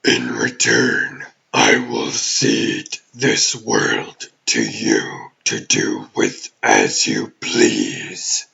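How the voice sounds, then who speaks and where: A man's voice: lively intonation, bright, forceful tone, muffled recording, heavily acted. A man voicing a menacing exiled alien demon warlord in a comic dub, grandiose and vengeful, with a growling, pompous delivery. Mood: coldly persuasive. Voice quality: very deep, gravelly growl. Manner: pompous, slow theatrical villain speech.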